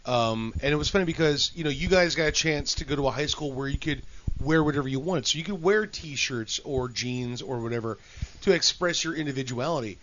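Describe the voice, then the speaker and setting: A man in casual comedic chat, cracking profane jokes and riffing quickly. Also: medium pitch, fairly bright tone, dull audio, a little hiss or hum. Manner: joking, profane, quick riffs.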